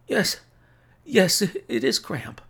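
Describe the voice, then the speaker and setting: A gravely ill man in a classic detective tale. The voice is lifted high and thin, dragging slowly through labored, whispery gasps. He pleads weakly and haltingly, as if every phrase costs him effort. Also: big pitch swings, fairly bright tone, exaggerated voice. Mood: suffering, pleading. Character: gravely sick man, weak, gasping, pleading for help.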